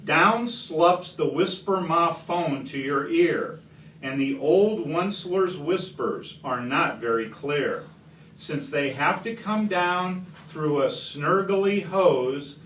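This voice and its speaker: A man reading a rhyming picture book aloud to children, expressively, with deliberate, dramatic pauses. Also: on the high side, a bit bright. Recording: roomy, distant home video with slight noise.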